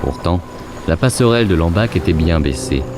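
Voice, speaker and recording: A man narrating an audio fiction in a measured, literary storytelling style. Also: low-pitched, expressive, music in the background.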